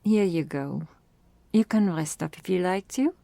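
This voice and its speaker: A close, dry ASMR roleplay voice: a woman as a courteous, protective vampire sister. She speaks very slowly in a soft, mellow tone. Her phrasing is formal, with a gently teasing edge. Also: lowish voice, vocal fry, dry recording. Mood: gracious, teasing.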